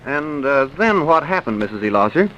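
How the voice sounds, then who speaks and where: An actor playing a measured, courteous small-town coroner in an old-time radio drama, questioning politely and deliberately. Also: male voice, on the high side, big pitch swings, fairly bright tone, muffled recording. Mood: formal, probing. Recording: noisy vintage radio recording.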